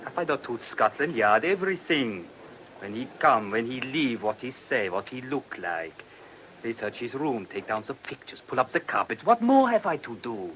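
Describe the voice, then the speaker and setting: An actor playing an exasperated middle-aged German proprietor, speaking emphatic broken English with a German accent in a radio play. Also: male voice, medium pitch, big pitch swings, moderate pace, a bit bright, rough recording. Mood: exasperated, put-upon. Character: exasperated German hotel proprietor, former prisoner of war. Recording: hissy old radio drama recording.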